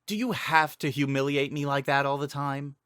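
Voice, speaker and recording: A bashful young hero from a fantasy storybook, acted by a male narrator. He sounds flustered, with a raised and bright voice. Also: fairly high pitch, steady tone, moderate pace, no echo, clean studio sound, pitched above the performer's own voice, lightly acted. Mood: embarrassed protest. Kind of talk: dramatic storybook reading.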